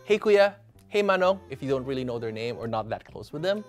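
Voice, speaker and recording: A man's voice: medium pitch, big pitch swings, a little fast, soft background music. A man in his thirties with a Filipino accent, co-hosting a casual chat about travel tips in a studio. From Philippines. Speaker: co-host. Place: indoor studio set with a sofa.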